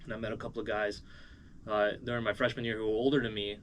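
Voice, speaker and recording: A man's voice: lowish voice, level tone, quick pace, fairly bright tone, slight background noise. A man with an American accent giving a casual, rambling interview as a musician. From moved as a small child from Uzbekistan, raised in Cleveland, USA.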